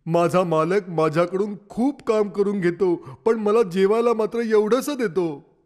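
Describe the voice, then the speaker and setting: Silly trusting donkey guy, lady doing the voice, whiny and naive, kinda lowered. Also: measured pace, darkish tone, natural voice. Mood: complaining. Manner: gullible, plaintive. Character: thin naive male farm donkey.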